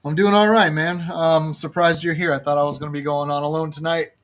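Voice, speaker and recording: A man's voice: a little high, fairly even intonation. A man hosting a casual podcast over a video call, greeting guests in an easygoing way, with fillers and restarts. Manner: friendly, easygoing, halting with fillers. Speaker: podcast host.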